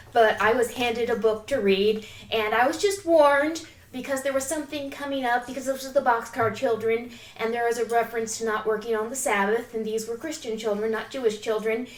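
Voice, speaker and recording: A woman with a fairly high voice chats as a panelist, recounting things anecdotally. She sounds some way from the microphone in a reverberant room. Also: fairly quick. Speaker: podcast panelist. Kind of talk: anecdotal casual chat. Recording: echoey room, distant from mic.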